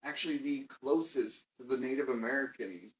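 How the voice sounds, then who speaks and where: Stammering man in his forties. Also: medium pitch. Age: 40s. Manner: halting, stammering restarts. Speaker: dating coach. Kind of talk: casual conversation. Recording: dull, distant mic, light noise.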